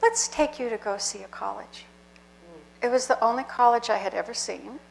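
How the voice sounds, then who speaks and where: An earnest woman speaks at a university panel, and her voice has a gravelly fry. She talks in a deliberate, reflective way, and a faint hum runs under the recording. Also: on the high side, rough recording. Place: lecture hall panel.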